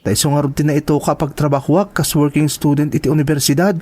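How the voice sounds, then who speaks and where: An actor with a Filipino accent playing an earnest, determined young college student in a radio drama, with an imploring manner. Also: male voice, a little high, fairly even intonation, fast, a little mellow, lightly acted. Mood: earnest, confiding. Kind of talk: Ilocano radio-style drama acting.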